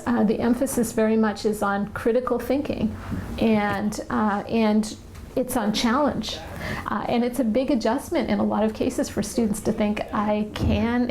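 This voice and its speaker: Lady interviewed, measured and pausing, higher voice, faint noise. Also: darkish tone, low-quality recording. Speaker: international house director, interviewee. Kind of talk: explanatory interview talk.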